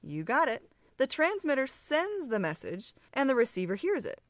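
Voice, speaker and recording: A woman playing a kindly, patient mentor in a children's audio drama, explaining things gently like a teacher. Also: high voice, big pitch swings, normal speed, dry recording.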